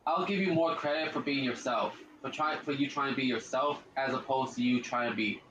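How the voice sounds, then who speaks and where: A man with a deep voice giving casual let's-play commentary as a gaming streamer, rambling and repeating himself with slang. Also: brisk, echoey room, highs cut off, noisy background.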